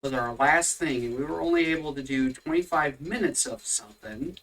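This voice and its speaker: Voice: mid-range voice, normal speed, a bit bright, distant mic, poor audio. A co-host of a casual home faith podcast, rambling and teasing, tired and yawning. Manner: rambling, teasing, easily sidetracked. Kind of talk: casual religious chat podcast. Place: home room with pets.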